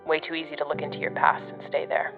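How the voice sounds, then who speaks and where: Audio drama: blunt, swearing government agent, low female voice, slightly muffled recording. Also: completely flat delivery, quick pace. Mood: wry, reflective. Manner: blunt, sardonic. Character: gruff, foul-mouthed, guarded woman agent of a secret department. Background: music.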